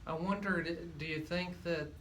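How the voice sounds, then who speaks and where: A man with a slightly high voice hosts a radio interview. He puts questions in a casual, conversational style, with some faint noise behind him. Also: steady tone, unhurried, fairly bright tone. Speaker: radio show host.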